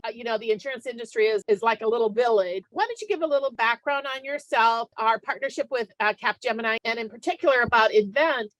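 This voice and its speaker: A woman's voice: a little high, fairly bright tone, no room sound, low-quality recording. A woman hosting a business podcast, asking long, upbeat questions with casual fillers. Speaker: podcast host. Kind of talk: conversational business interview.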